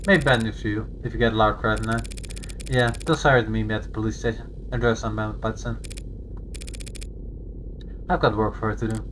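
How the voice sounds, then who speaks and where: An actor voicing a cool, guarded professional lawyer in a video game, dryly and tersely, in measured lines. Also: medium pitch, fairly even intonation, a bit bright, slight background noise, lightly acted, low-quality recording. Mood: wry, weary. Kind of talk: voiced visual novel, inner monologue and dialogue. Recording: game audio with music behind. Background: music.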